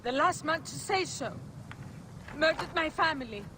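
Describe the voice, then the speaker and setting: A woman's voice: sits high, lively intonation, moderate pace, background noise. A woman playing a bereaved, wary and resolute young farmer's daughter in a dramatic video game. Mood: bitter, wary.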